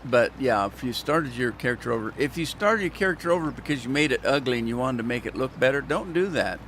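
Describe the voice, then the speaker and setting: A man's voice: mid-range voice, animated, quick pace, fairly bright tone, slight background noise, poor audio. Rambling, chatty man gaming. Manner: rambling, chatty, joking asides to viewers. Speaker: gaming channel host. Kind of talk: casual gameplay commentary.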